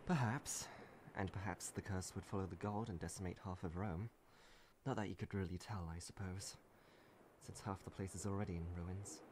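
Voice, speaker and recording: A man's voice: low-pitched, fairly even intonation, normal speed, a little mellow, slight background noise, natural voice. A man voicing a wry, world-weary woman adventurer in a comic dub, with a dry, sardonic delivery. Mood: measured, wry. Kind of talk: comic dub acting with reflective monologues.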